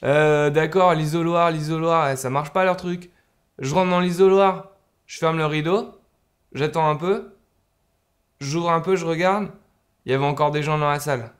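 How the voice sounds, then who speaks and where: A man acts out a bumbling voter in an absurd comedy sketch. The voice sits fairly high, halting and repetitive, taking everything literally and protesting with muddled earnestness. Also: steady tone, close to an everyday voice. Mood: earnest, puzzled. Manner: halting, repeats himself.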